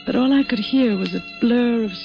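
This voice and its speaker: An American actress playing an anxious wife who narrates a 1940s radio thriller in a hesitant, guarded, dramatic way. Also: female voice, a little high, normal speed, creaky. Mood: hushed narration. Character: anxious boarding-house wife hiding her fears, thriller narrator. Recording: vintage radio recording with music.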